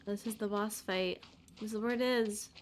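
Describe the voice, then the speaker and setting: A woman's voice: mid-range voice, steady tone, normal speed, a little hiss or hum. A woman game streamer giving casual live commentary as she plays. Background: faint game music.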